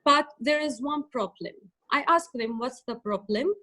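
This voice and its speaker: A woman from Palestine answering at an online press conference in earnest, halting English. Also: high-pitched, sharp tone. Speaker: refugee speaker. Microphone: video call.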